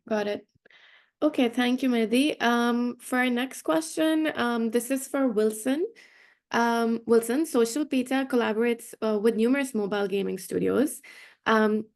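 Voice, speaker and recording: A woman with a slightly high voice and noticeable vocal fry moderates a panel. She speaks as a host who hands questions to her guests. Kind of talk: moderating an online panel discussion.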